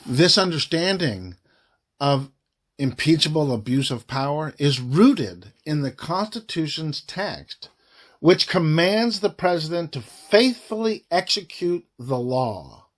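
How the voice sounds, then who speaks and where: A man reading a formal report aloud in deliberate phrases, with frequent breaks between them. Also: fairly high pitch, big pitch swings. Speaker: reader of a government report.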